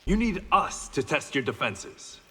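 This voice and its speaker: A man's voice: on the high side, big pitch swings, measured pace, fairly bright tone, slightly rough, heavily acted. An actor playing a proud, wry blind swordsman and former gangster, speaking in dry, guarded, terse lines in a video game. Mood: wry, confident. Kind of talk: video game fight banter. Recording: reverberant game hall sound.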